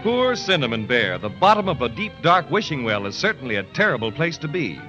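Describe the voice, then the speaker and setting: A man's voice: on the high side, big pitch swings, fairly quick, sharp tone. A man announcing in scripted 1930s children's radio style. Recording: dull vintage radio, music behind.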